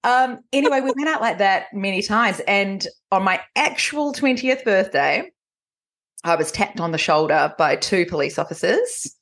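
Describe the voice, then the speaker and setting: A woman in her forties with a New Zealand accent, chatting as a podcast guest and telling personal stories in an animated, conversational way. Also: medium pitch, moderate pace. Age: 40s. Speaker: podcast guest, recovery coach.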